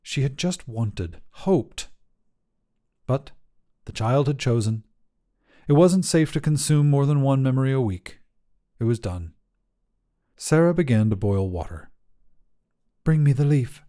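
A man's voice: mid-range voice, lively intonation, unhurried, long pauses, dark tone. A man narrating scripted short fiction for a podcast.

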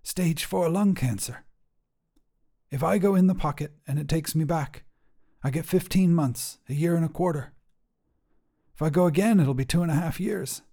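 Man voicing a weary, high-pitched defiant mother. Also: moderate pace, pauses often, warm tone. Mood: matter-of-fact, resigned. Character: weary, defiant, terminally ill middle-aged mother, drily resigned.

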